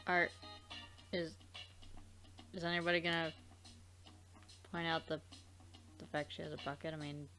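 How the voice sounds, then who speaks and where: A woman's voice: sits low, level tone, normal speed, pauses often, pushed and bright, faint music behind. A woman co-hosting a let's play, commenting casually and reacting with blunt disbelief.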